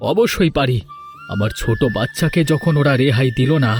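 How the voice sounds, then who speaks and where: A man's voice: mid-range voice, lively intonation, moderate pace, soft background music, natural voice. A man playing a grieving, vengeful village father in a cartoon fairy tale, emphatic and melodramatic. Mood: angry, determined.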